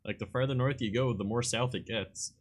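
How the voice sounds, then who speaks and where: A man's voice: medium pitch, fairly quick. A man with a Northern Ontario Canadian accent, hosting a stream with joking, crude banter. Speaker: streamer host. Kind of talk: casual stream chat.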